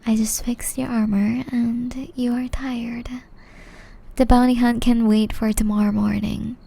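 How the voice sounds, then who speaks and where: Soft, whispering gentle witch, played by a woman. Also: a little high, little pitch movement, heavily acted. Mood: worried scolding. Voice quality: soft, breathy, largely whispered. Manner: slow, tender, earnest pleading. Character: gentle centuries-old banished forest witch, caring and wistful. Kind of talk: ASMR roleplay.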